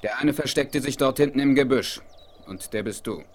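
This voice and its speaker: An actor playing a noble, observant Apache chief in a 1970s radio play, speaking in a formal, measured, declamatory way. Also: male voice, mid-range voice, close to an everyday voice. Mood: solemn, assured. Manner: formal, declamatory, measured, addresses others in third person. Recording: noisy 1970s vinyl radio play rip.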